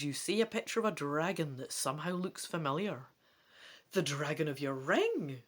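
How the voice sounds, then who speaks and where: Expressive woman reading aloud, swooping pitch. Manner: expressive, playful storytelling. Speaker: host of a gamebook let's play. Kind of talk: dramatic reading aloud with casual asides.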